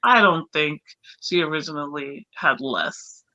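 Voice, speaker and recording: A woman's voice: deep voice, lively intonation, measured pace, bright, forceful tone, dry recording. A woman talking casually as a panelist in a group book discussion.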